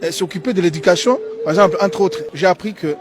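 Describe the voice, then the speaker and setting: A man's voice: high voice, fast, music in the background. A middle-aged man with a Congolese French accent, giving opinionated interview answers in an emphatic way, repeating key phrases. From Kinshasa, DR Congo. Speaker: interviewee, former teacher.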